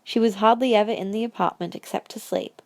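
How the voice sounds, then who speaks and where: A woman narrates a scripted audio drama in story prose. Her voice has a medium pitch and the sound is close and very dry. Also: fairly even intonation, brisk, a little mellow, no echo.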